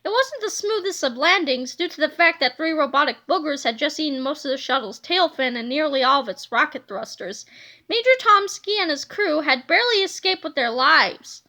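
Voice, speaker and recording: Woman, children's read-aloud narrator, expressive storybook style, high pitch, bright tone. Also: moderate pace.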